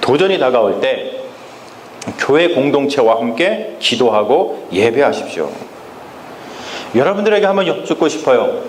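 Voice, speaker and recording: A male pastor preaching a Sunday sermon in a church, speaking emphatically and often turning to address the congregation directly, slowing down to stress key phrases. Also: medium pitch, sing-song, slight background noise. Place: church sanctuary. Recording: echoey church with faint ambience.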